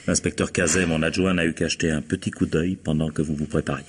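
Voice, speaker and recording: Deep-voiced police inspector, shrewd, wry, insistent, authoritative, vintage radio crime drama. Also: male voice, fairly even intonation, fast, slightly rough. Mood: wry, firm. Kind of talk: vintage radio mystery acting.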